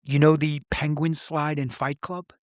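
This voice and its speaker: A man's voice: fairly high pitch, flat delivery, a little slow, no room sound, studio quality. A middle-aged man telling personal stories in a serious, plain-spoken, reflective way as a podcast host. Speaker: podcast host, former police officer. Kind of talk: reflective personal storytelling in conversation.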